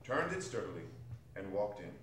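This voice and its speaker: A man narrating a classic story in an expressive, theatrical dramatic reading. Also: fairly low pitch, normal speed. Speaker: stage narrator. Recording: very echoey hall, distant mic, faint noise.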